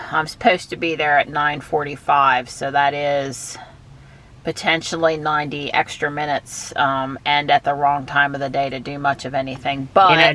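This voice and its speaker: A woman vlogging from behind the wheel of her car, chatting and thinking out loud. She has a stuffy nose from allergies. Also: deep voice. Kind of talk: casual thinking aloud while driving. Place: inside a car while driving.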